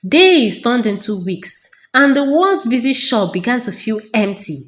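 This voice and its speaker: High-pitched, animated woman telling a folktale. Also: a little slow. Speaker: storyteller narrator. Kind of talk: dramatic scripted folktale narration.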